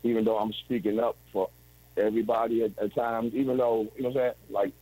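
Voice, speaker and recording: A man's voice: lowish voice, flat delivery, fast, mellow, low-quality recording. A man in his forties telling his story as an interview guest over a video call, rambling and restarting often. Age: 40s. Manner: rambling, with frequent restarts and "you know what I'm saying".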